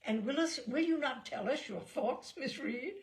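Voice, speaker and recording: A woman's voice: sits high, expressive, moderate pace, voice pushed up. A woman reading the part of a wry, playful male ship's doctor in a teasing, understated way.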